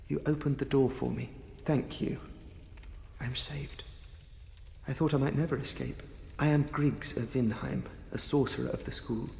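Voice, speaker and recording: A man's voice: mid-range voice, creaky, a little mellow, breathy edge. An actor playing a polite, earnest male sorcerer in a video game, speaking in formal, courteous words. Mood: grateful, courteous. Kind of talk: video game character dialogue.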